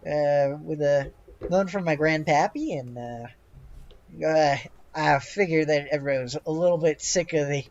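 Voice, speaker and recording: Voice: medium pitch, unhurried, lo-fi sound, close to an everyday voice. A game master voicing a folksy, talkative male tavern owner in a tabletop game, chatting away and answering for others. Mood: affable, boastful.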